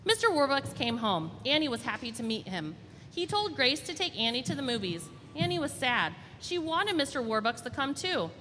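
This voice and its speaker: A woman storyteller reading a children's storybook aloud in an expressive, deliberate way. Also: high-pitched. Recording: reverberant hall, faint background noise.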